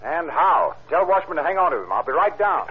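An actor playing a brisk, persistent police investigator questioning in clipped, businesslike fashion in an old radio crime drama. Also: male voice, on the high side, very animated. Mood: urgent. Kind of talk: 1940s-50s radio crime drama acting. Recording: muffled, noisy vintage radio recording.

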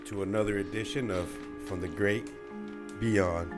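A man's voice: on the low side, steady tone, measured pace, loud music behind the voice. A man hosting a casual podcast chat, joking around and swearing easily. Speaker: podcast host.

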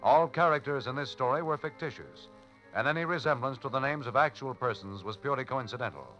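A man's voice: mid-range voice, fast, bright, forceful tone. A man reading closing credits as a 1940s radio network announcer. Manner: crisp, announcing. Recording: dull lo-fi broadcast with faint music.

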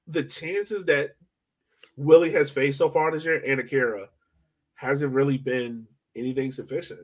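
A man's voice: mid-range voice, steady tone, moderate pace. A young man hosting a sports podcast in an informal, slangy, chatty way. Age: young adult. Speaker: podcast host. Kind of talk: casual sports podcast chat.

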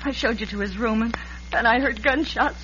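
A woman's voice: on the high side, moderate pace, natural voice. A woman playing a bitter, accusing young American ranch daughter in old-time radio melodrama. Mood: angry accusing. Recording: noisy, muffled vintage radio broadcast.

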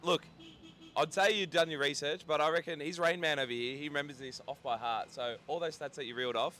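A man, a co-host in a casual podcast chat, excitable and joking with his mates. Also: a little high, a little fast.

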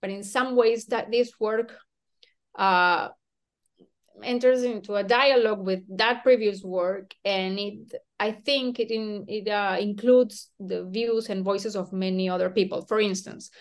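Woman author from Peru, mid-pitched, hesitant academic chat with restarts. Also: fairly even intonation, a little slow. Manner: hesitant, self-questioning, with many restarts and soft laughs. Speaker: author interviewed about her book. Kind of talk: thoughtful academic conversation.

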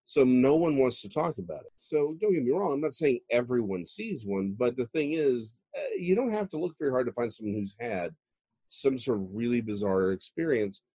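A man speaks casually as an interview guest. His voice is mellow and a little husky, recorded dry and close. He leans on words when he gets going. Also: medium pitch, expressive, moderate pace, no room sound. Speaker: guest author.

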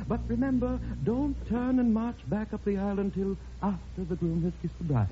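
An actor playing a fussy, rambling wedding officiant in a classic radio comedy, dithering and repeating himself. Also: male voice, high voice, steady tone, fairly quick, mellow, slight background noise, natural voice. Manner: repetitive, dithering. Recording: dull old radio recording, music behind.

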